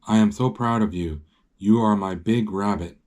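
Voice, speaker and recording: Man reading as gentle, mellow father rabbit. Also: on the low side, moderate pace, close to an everyday voice. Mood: reassuring. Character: loving, encouraging father rabbit in a storybook.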